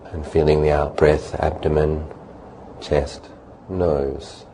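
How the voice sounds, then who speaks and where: A man with a very low, soft-edged voice gives guided meditation instruction. He speaks calmly in short, unhurried phrases and leaves long pauses between them. There is faint ambient noise behind him. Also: rough recording. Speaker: meditation teacher.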